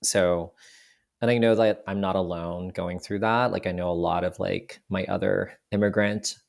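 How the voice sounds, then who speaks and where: A man with a very low, gravelly voice settles into a reflective podcast chat. His first language is Cantonese, and his thoughts come out with searching pauses and small restarts. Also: fairly even intonation. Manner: thoughtful, frequent restarts and "you know". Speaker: podcast guest, photographer. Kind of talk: reflective casual conversation.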